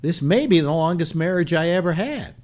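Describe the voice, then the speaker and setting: An older man hosting a casual video-call chat, rambling with halting restarts and self-mocking jokes. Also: on the high side, animated, a little mellow. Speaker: host. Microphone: webcam on a video call.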